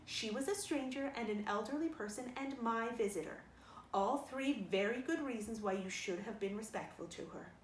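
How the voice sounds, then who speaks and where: A woman reading a storybook, voicing a stern but fair middle-aged guardian with a firm, measured, scolding manner. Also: a little high, natural voice. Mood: firm, softer. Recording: roomy sound with faint noise.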